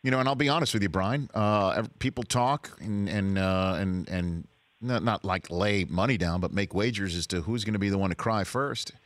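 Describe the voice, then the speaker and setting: Casting: lowish male radio host, easygoing and teasing, dry studio sound. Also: normal speed, a bit bright, dry recording. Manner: conversational, teasing. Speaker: sports talk show host. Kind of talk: radio talk show interview.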